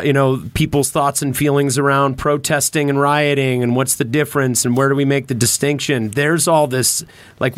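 A man's voice: medium pitch, no room sound. A man in casual political discussion on a podcast, repeating and rephrasing as he builds his point.

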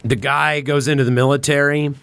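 A man's voice: fairly high pitch, level tone, fairly quick, vocal fry, a bit bright, dry recording. A man hosting a podcast in casual conversation, rambling along with informal slang and swearing. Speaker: podcast host.

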